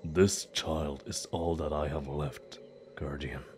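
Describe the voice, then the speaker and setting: A man playing a desperate, grieving father who pleads humbly and haltingly in a fantasy audio drama. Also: a bass voice, steady tone, slight background noise. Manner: slow, halting, earnest pleading.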